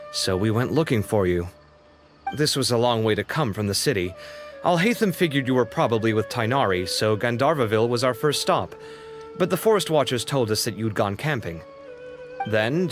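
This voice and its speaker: A man playing a chatty, expressive young man. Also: mid-range voice, close to an everyday voice. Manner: lively, chatty and a little flustered. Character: young man, expressive and fussy, a talkative storyteller. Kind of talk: video game voice acting. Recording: reverberant game scene with music.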